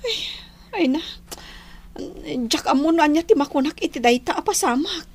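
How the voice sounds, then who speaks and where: A woman's voice: sits high, expressive, moderate pace. A woman acting a humble, hesitant and fearful Filipino village neighbour in an Ilocano radio drama. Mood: shocked.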